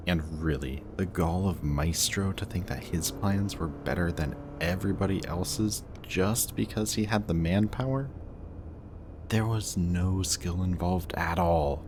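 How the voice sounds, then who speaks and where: A man's voice: deep voice, slight background noise. A man playing a smug, guarded supervillain in an audio roleplay, teasing and commanding, grudgingly protective of the person he is addressing. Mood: wry, musing. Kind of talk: scripted roleplay audio drama addressed to the listener.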